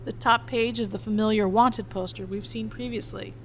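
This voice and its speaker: A woman's voice: on the high side, steady tone, moderate pace. Woman narrating a script, faint noise. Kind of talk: scripted narration, reading stage directions.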